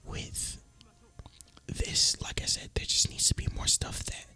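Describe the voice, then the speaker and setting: Someone whispering asmr gaming commentary, super breathy, casual rambly musing, really hushed. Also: unhurried, faint music behind. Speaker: host of an ASMR gaming channel.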